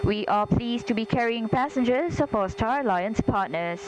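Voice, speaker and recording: A woman flight attendant reading scripted airline safety announcements in a formal, measured, instructional way. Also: fairly high pitch. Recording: clear engine hum behind cabin announcement. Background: steady aircraft hum.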